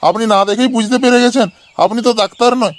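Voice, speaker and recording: A man's voice: very high voice, fast, a little hiss or hum. A man voicing an anxious, dim-witted patient in a comedy cartoon, pleading and repeating himself in a plaintive way. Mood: amazed. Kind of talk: cartoon comedy skit dialogue.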